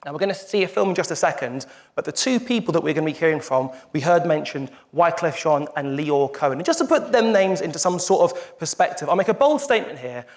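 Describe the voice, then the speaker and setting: A man hosting a live stage event, presenting with energetic, emphatic build-ups. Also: a little high, fast talker, few pauses. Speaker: event host.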